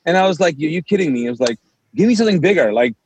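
A man's voice: fairly high pitch, rapid-fire, background noise. A man whose first language is Urdu, a pastor telling his story as a guest in a casual interview and acting out the dialogue. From Kuwait. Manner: animated storyteller, acts out quoted dialogue.

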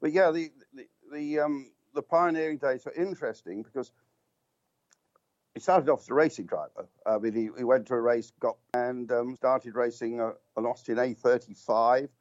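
A man's voice: medium pitch, steady tone, normal speed, no room sound, muffled recording. An older man, a guest motorsport commentator, reminiscing at length in a rambling, anecdotal way with many restarts and ums. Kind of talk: reminiscing radio talk.

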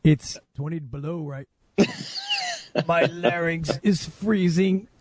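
A man's voice: on the high side, takes it slowly, noisy background. A man mimicking a freezing caller. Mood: mock shivering. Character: a shivering male caller, freezing outdoors.

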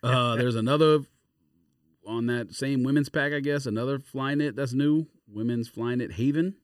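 A man's voice: medium pitch, normal speed, no echo, clean studio sound. A man co-hosting a podcast in casual chat, rambling as he searches for the right words. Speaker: sneaker podcast host.

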